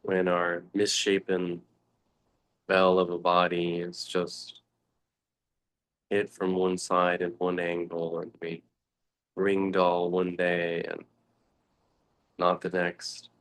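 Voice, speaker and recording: A man giving a guided meditation talk as a teacher, speaking contemplatively in short phrases with long pauses between them. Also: sits low, completely flat delivery, fairly bright tone, clean tone.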